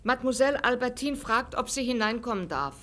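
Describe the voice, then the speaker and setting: A woman's voice: fairly high pitch, lively intonation, fairly quick, fairly bright tone. An actress playing a polite, formal female servant in a radio drama. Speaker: supporting radio drama actor.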